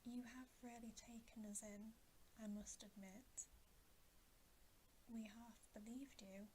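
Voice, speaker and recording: A woman voicing a teasing but fair-minded storybook boy, an older brother, gently patronising in a half-whisper. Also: fairly high pitch, fairly even intonation, slow pace, slight background noise. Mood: amused.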